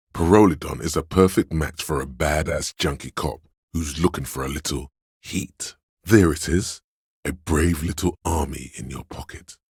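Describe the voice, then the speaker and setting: A man's voice: breathy edge, dry recording, clean studio sound, heavily acted. A man playing a seductive, indulgent inner voice of craving in a video game, narrating in the second person with a theatrical, coaxing, savoring delivery. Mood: sly, gleeful. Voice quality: very deep, resonant.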